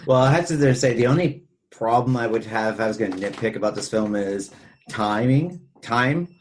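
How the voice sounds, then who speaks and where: A man with a husky voice talking casually and ramblingly as a podcast host discussing film. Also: medium pitch, moderate pace, a little hiss or hum.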